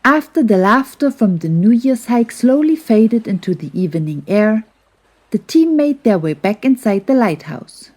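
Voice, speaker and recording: A woman narrating a gentle children's bedtime story in a soothing, measured, tender way. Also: on the high side, sing-song.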